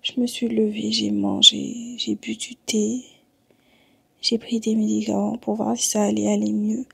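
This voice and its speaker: A woman from Senegal vlogging at home while sick and tired, talking in a low-key, weary way. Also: level tone, a little slow, creaky, husky, dry recording. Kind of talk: casual vlog talk. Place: at home in Montreal.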